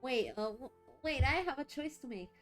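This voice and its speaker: A woman's voice: high-pitched, expressive, moderate pace, soft background music. A woman giving casual let's play commentary as a streamer, informal, giggly and reactive in her manner. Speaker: streamer playing a game.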